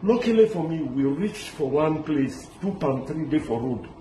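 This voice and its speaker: A middle-aged man from Lebanon telling his story in an animated, halting way, restarting often and mixing in pidgin words. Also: on the high side, slight background noise. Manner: excited, halting, many restarts. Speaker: interviewee recounting a kidnapping. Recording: echoey with loud street ambience.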